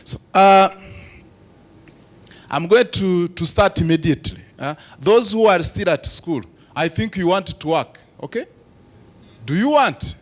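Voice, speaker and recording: A man's voice: high-pitched, animated, a little slow, long pauses. A man from Rwanda giving a presentation to a youth forum, asking the audience questions with frequent "uh" hesitations. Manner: engaging, asks the audience questions. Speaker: lead presenter.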